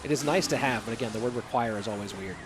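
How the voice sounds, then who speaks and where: A man's voice: medium pitch, very fast, music in the background. Stuffed-up male esports caster. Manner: relaxed, chatty, answers chat questions between plays. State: stuffy nose from a cold. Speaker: esports commentator. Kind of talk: solo play-by-play casting and reading chat.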